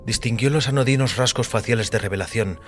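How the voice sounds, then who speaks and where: A man's voice: mid-range voice, fast, slight background noise. A man reading scripted dramatic fiction as the narrator of an audio story. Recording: music under the voice. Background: background music.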